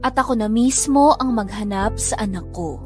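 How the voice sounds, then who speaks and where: A woman's voice: medium pitch, moderate pace, music in the background, lightly acted. A woman with a Filipino accent narrating in first person as a worried mother, in a sorrowful scripted drama style. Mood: sorrowful, anxious.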